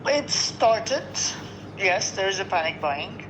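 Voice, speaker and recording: A man's voice: high-pitched, very animated, slow pace. A Lebanese man who runs a restaurant, answering a news interview in an earnest, weary way with short restarting phrases. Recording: dull lo-fi line with noise.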